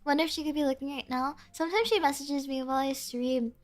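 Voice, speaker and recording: A woman's voice: sits high, steady tone, quick pace. A young woman streaming and chatting casually with her viewers, bubbly and giggly, full of "like" fillers, with a stuffy, sniffly nose.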